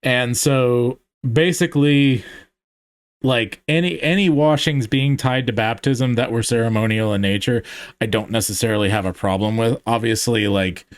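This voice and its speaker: A man's voice: mid-range voice, steady tone, normal speed, no room sound. Man thinking aloud on a dry mic. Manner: thinks aloud with many fillers and restarts. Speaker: podcast host. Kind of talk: casual theological discussion.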